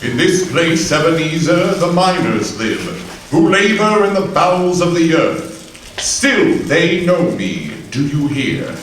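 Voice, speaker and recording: Man doing a stern solemn spirit, lively rises and falls, distant echoey stage. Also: a little high, a little hiss or hum. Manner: solemn, measured pronouncements. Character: stern male Ghost of Christmas Present, grave. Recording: roomy live stage sound from afar.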